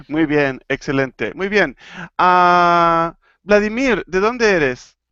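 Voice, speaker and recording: A man from Argentina teaching an online Spanish class, playful and clear, slowing down to model phrases. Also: sits high, bright, forceful tone, no echo, lo-fi sound. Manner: playful, laughing, slow and clear when teaching. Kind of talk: live online language class.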